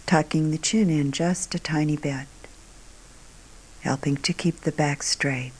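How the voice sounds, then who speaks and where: A woman meditation teacher guiding a meditation calmly and unhurriedly, in short phrases with long pauses, her voice soft and breathy at phrase ends. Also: sits low, poor audio. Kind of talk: guided meditation.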